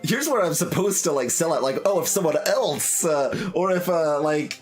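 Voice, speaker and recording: Male streamer, casual and expressive, reading aloud with animated, swinging intonation over music. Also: fairly high pitch, normal speed. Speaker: game streamer. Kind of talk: live playthrough commentary, reading game text aloud.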